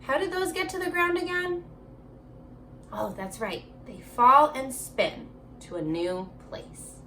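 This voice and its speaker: A woman teaches young children in a high, very lively voice. She takes her time, reading and prompting with encouraging questions as if each pupil were right there. A little background noise sits behind her. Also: slow. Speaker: elementary school teacher. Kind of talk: children's remote reading lesson, alternating slow read-aloud and chatty prompts.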